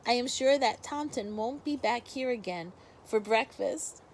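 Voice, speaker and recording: A woman voicing a friendly mother hen from a storybook in an expressive, exclaiming way. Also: a little high, a little slow, slight background noise, close to an everyday voice. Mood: grateful, puzzled. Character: friendly female hen, anxious mother, grateful to her friend.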